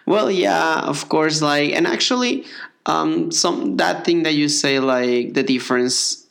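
A man's voice: on the high side, slow, vocal fry, a bit bright. A man from Mexico, a native Spanish speaker with a Mexican accent, co-hosting a casual conversation and rambling with many fillers and restarts. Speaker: podcast co-host.

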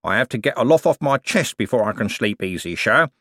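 A man's voice: medium pitch, lively intonation, pushed and bright, slightly rough, dry recording, clean studio sound, pitched above the performer's own voice. Man playing a wheedling, sanctimonious old con-man preacher. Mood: pious wheedling. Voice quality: mushy speech with whistling false teeth. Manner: solemn, drawn-out, wheedling. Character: old male con man posing as pious, repentant preacher.

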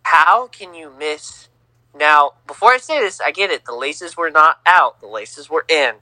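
A young man co-hosting a casual sports podcast, teasing and lively, on a home mic. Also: a little high, measured pace, dry recording, poor audio. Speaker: podcast co-host.

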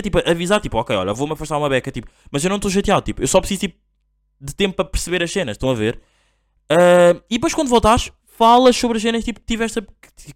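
A man's voice: fairly high pitch, dry recording, studio quality. A young man from Angola hosting a podcast, talking casually and loosely with lots of slang fillers. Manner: slangy, drawn-out "tipo" and "bro". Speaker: podcast host.

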